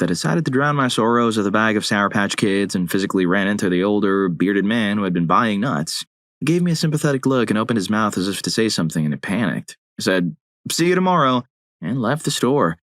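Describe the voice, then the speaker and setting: A man reading out story submissions, with deadpan, wry asides between them. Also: lowish voice, no room sound, studio quality. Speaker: narrator. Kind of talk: reading story submissions aloud with short quips.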